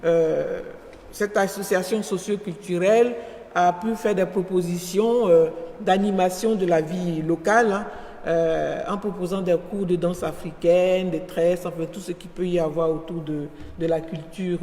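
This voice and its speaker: A middle-aged woman from Côte d'Ivoire, president of an association, telling her story as a guest in an event interview. She speaks reflectively, pausing and searching for her words. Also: lowish voice, steady tone, dark tone. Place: large event hall. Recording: echoey hall.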